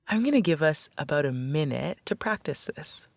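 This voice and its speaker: A woman leading a guided meditation as a facilitator, speaking in a gentle, measured, instructional way. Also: sits low, animated, creaky, no echo.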